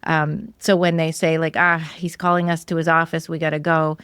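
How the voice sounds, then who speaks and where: A woman's voice: deep voice, little pitch movement, normal speed, a bit bright, smooth, dry recording, studio quality. A woman hosting a podcast, chatting casually as she interviews a guest.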